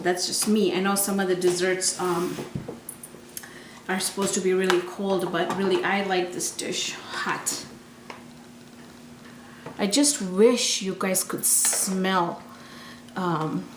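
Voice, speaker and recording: A woman from Tanzania giving a casual, enthusiastic home cooking demonstration, repeating words for emphasis. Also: medium pitch. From Tanzania, East Africa. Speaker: home cooking show host. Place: indoor kitchen. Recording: echoey room with faint background noise.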